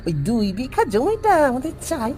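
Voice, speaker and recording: Cartoon henchman, sly and sneering,; shrill, jumpy voice over light music. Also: big pitch swings, moderate pace. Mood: scheming. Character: scheming male village henchman. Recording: soft background music.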